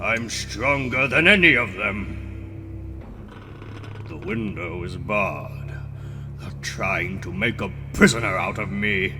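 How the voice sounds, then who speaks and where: Man as arrogant mad scientist villain for motion comic: lowish pitch, very deliberate, gloating and theatrical. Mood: bitter, scheming. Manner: grandiose, gloating, dramatic. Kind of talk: comic book dramatic reading. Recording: loud music under the voice. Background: music.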